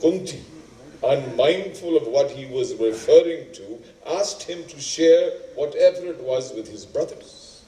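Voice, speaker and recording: An actor playing a learned, wry storyteller who recounts epic tales deliberately and emphatically, repeating phrases for effect, in theatrical style. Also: male voice, medium pitch, animated, mellow, natural voice. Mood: wry, moralizing. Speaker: stage actor in a two-hander play. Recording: echoey stage, distant microphone.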